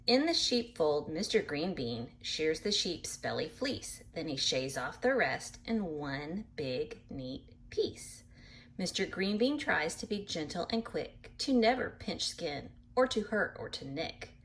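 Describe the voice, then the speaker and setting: A woman reading a picture book aloud to children in an animated way, pausing to explain things patiently in simple words. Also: deep voice, slight background noise. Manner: animated, acts out animal sounds, addresses young listeners. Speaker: read-aloud storyteller for children.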